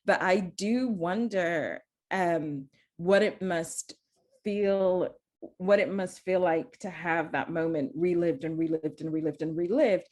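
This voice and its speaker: Woman, lowish voice, earnest panelist, searching, restarts often. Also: slow pace, darkish tone. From Zambia. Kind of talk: panel discussion.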